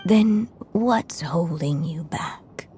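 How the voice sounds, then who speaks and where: Slow, low-voiced gentle mother spirit. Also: expressive, a little hiss or hum. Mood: tender, encouraging. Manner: tender, unhurried, solemn. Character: gentle dead mother, a storyteller's fading magical echo. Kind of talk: video game voice acting.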